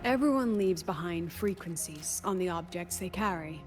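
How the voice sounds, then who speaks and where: A woman playing a cool, eccentric female academy president in a video game, composed and deliberate, speaking in clipped statements. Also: on the low side, animated, close to an everyday voice. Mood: composed, explanatory. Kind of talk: scripted video game voice acting. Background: game music under the voice.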